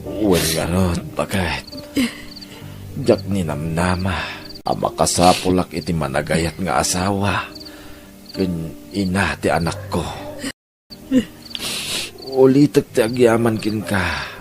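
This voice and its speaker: Man playing a low-voiced tender Ilocano father. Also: slow, pauses often, over music. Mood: calm, reassuring. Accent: Filipino, Ilocano. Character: devoted, hard-working middle-aged father and husband, tender. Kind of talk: audio family drama acting.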